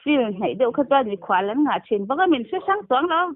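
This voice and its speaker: A woman's voice: high-pitched, sing-song, fairly quick. A woman chatting casually on a phone call, heard over a tinny, narrow telephone line. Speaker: phone caller.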